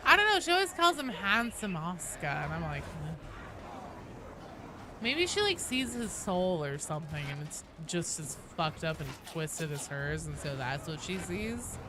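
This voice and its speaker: A woman's voice: fairly low pitch, very animated, normal speed, background noise. A woman live streamer chatting casually and wryly with her viewers while she plays a game. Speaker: live streamer hosting gameplay.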